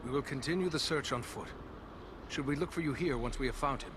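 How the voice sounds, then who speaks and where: A man's voice: medium pitch, brisk, background noise, close to an everyday voice. A man voicing an earnest, dutiful male ranger in a video game.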